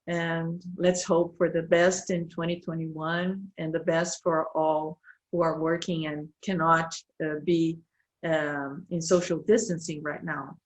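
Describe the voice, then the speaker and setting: A woman in her fifties from Brazil, a native Portuguese speaker with a Brazilian accent, giving a halting online presentation as a university professor, with many fillers as she searches for words. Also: sits low, flat delivery.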